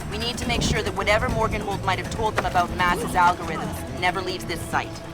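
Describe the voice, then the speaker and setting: Casting: actress as female tech analyst, medium pitch, precise briefings, dry wit, loud score underneath. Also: steady tone, brisk, loud music behind the voice, close to an everyday voice. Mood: efficient. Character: crisp female intelligence analyst, efficient and dryly witty. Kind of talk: video game voice acting.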